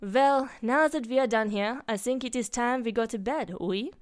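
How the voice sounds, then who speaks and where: A woman's voice: a little high, moderate pace, no echo, clean studio sound, voice pushed up, close to an everyday voice. A woman reading a story and voicing a dramatic, romantic young Frenchman with theatrical, foreign-sounding phrasing. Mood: suave pleading.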